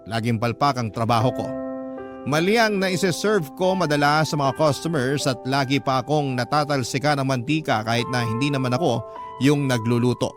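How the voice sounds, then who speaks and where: A man narrates a first-person love story from a script. His voice sits at a middling pitch, with music playing clearly behind it. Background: music clearly under the voice.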